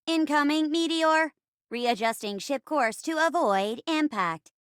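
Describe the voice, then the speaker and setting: A woman plays a female robot navigating a starship in a game's stage play. The voice is high and dry, with stilted, deliberate, mechanical phrasing. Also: animated, dry recording.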